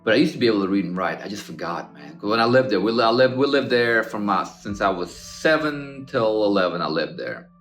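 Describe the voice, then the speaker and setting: A man's voice: fairly low pitch, expressive, fairly quick, few pauses, a bit bright. Blunt, rambling man in an echoey room. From born in Azerbaijan, raised in Russia and Armenia. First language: Russian. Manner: blunt, rambling, swears freely, says man and bro. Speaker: artist and podcast host. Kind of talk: casual personal monologue. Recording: echoey room with faint music.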